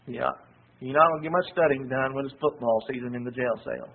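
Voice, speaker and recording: A man preaching a sermon with a loud, husky voice, chatting casually and then rising to emphatic preaching. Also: mid-range voice, brisk, narrow, tinny audio. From United States.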